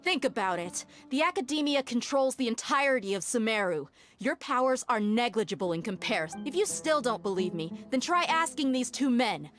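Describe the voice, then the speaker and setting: A woman's voice: fairly high pitch, lively intonation, fairly quick, a bit bright, soft background music. A woman playing a headstrong female desert mercenary in a video game, blunt and assertive. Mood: urgent, reasoning.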